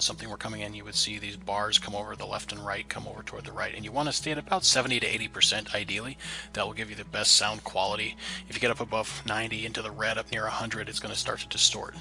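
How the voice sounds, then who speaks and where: Man, very bright crisp-edged tone, lowish pitch, casual tutorial narration, step-by-step explaining. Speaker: presenter of a genealogy tips tutorial. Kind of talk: casual instructional screencast narration.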